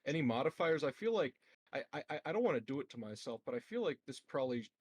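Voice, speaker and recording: A man's voice: medium pitch, sing-song, quick pace, breathy edge. A man chatting casually as a player in a tabletop game, playful and free with swearing.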